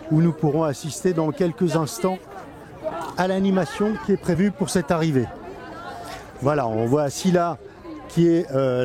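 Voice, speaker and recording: A man with a slightly high voice reports live from an outdoor event. His commentary is halting, with pauses and repeated phrases as he describes the scene. A busy crowd surrounds him. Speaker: event reporter and club president doing live coverage. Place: outdoors in a town street among a crowd. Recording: outdoor live recording amid crowd noise. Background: crowd and street sounds.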